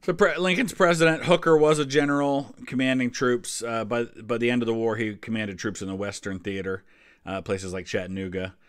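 A man's voice: medium pitch, little pitch movement, brisk, clear, resonant tone, dry recording, studio quality. An American man in his forties giving casual, explanatory reaction commentary with offhand asides. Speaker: history channel host reacting to a quiz video.

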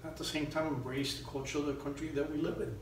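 A man's voice: fairly high pitch, level tone, fast talker. A middle-aged man from Miami answering interview questions conversationally as an actor, often restarting his phrases. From Miami, United States. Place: small indoor room. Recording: echoey room, distant mic, faint noise.

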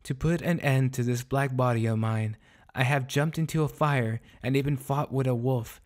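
A man's voice: medium pitch, normal speed, close to an everyday voice. A man voicing a bitter, sorrowful runaway dog in a storybook soliloquy, speaking in a solemn, plaintive, formal way. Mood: anguished, ashamed.

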